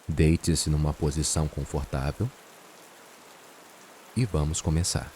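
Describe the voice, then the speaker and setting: A man with a Brazilian accent narrates a guided meditation in a soothing, unhurried way, leaving long pauses. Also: a bass voice. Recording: steady rain and hiss behind voice. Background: rain sounds.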